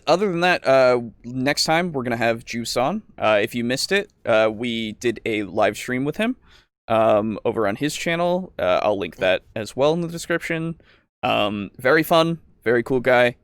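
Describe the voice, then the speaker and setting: Male podcast host, smooth clear voice, dry close sound, informal and rambling. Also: medium pitch, animated, normal speed, no echo. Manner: informal, rambling, prone to chuckling. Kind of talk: casual podcast chat and show sign-off.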